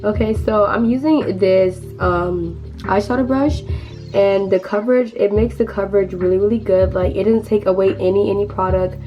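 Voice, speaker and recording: A teenage girl with a hoarse voice from losing it, chatting casually through a makeup tutorial with many fillers and restarts. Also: mid-range voice, fairly even intonation, normal speed, muffled recording, soft background music. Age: teens. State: hoarse, lost voice. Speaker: beauty vlogger.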